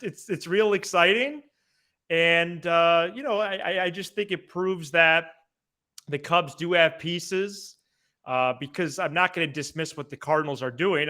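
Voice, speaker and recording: Guy cohosting a sports podcast, pretty high bright voice, kinda stammers over words, chatty. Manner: halting, repeats words as he talks. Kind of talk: casual sports chat.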